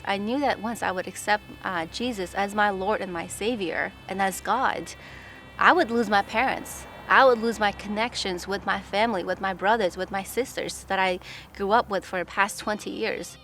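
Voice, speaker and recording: A woman's voice: mid-range voice, normal speed, fairly bright tone. A young woman from Pakistan giving an earnest, reflective personal testimony. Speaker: testimony speaker. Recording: music bed underneath.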